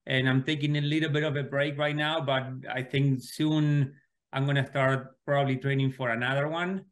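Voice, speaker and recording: Relaxed Argentine man in his forties. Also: medium pitch. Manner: relaxed, unhurried, repeats words as he thinks. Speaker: guest, sports club co-founder. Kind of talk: casual interview answers.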